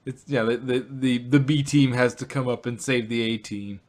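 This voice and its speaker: A man co-hosting a casual review podcast, halting and laughing often as he talks. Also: medium pitch, steady tone, a little mellow. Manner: laughing, halting, many fillers. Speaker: podcast co-host.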